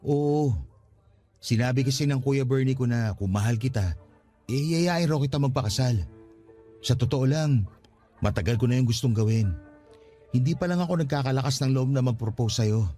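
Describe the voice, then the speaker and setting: A man's voice: medium pitch, moderate pace, pauses often, warm tone, lightly acted. An actor playing an earnest, anxious young father in a Tagalog radio drama, speaking conversationally with many questions. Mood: earnest, pleading. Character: earnest, anxious young father proposing to his estranged partner. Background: music bed.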